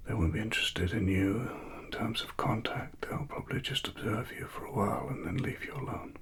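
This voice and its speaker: Man acting as calm cyberpunk investigator, British accent, low-pitched, breathy half-whisper, formal and measured, ASMR roleplay. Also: close to an everyday voice. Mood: soothing, reassuring. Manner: slow, deliberate, formal, measured. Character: calm, principled male cyberpunk tech investigator. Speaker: ASMR performer. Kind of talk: softly spoken scripted ASMR roleplay.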